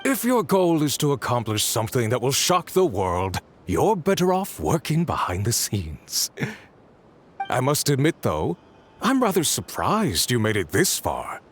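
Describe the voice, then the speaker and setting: A self-styled genius villain from a video game, smug and resentful. He speaks in a mid-range voice with big swings of pitch, gloating pompously. Faint noise sits behind the voice. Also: huge pitch swings, measured pace. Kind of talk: scripted video game dialogue.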